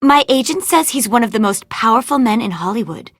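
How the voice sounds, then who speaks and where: A woman's voice: fairly high pitch, expressive, brisk, no room sound. A woman voicing a vain, ditzy young movie actress in an adventure game, gushing and theatrical in a gossipy way. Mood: conspiratorial. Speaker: video game voice actor. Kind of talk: animated adventure game dialogue.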